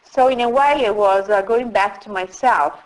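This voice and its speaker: A middle-aged woman from Italy, telling her story as an interview guest in a slow, reflective way with frequent pauses. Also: mid-range voice, low-quality recording. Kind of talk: casual interview.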